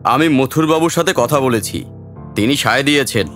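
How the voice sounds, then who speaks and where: A man's voice: mid-range voice, normal speed, fairly bright tone, soft background music, lightly acted. A man acting a stern older village headmaster in an audio drama, firm and commanding.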